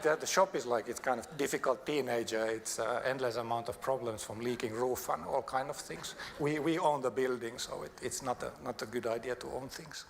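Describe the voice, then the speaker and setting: A man's voice: mid-range voice, creaky. Man presenting in a reverberant, noisy hall. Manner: halting, searching for words. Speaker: designer giving a talk. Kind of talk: conference presentation. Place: large conference hall on stage.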